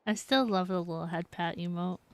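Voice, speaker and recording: A woman's voice: on the low side, fairly even intonation, a little fast, clean tone, no echo. A woman streaming a game who chats casually with viewers, giggling often and saying "like" a lot. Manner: chatty, giggly, lots of "like". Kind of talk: casual live-stream chat. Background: faint game music.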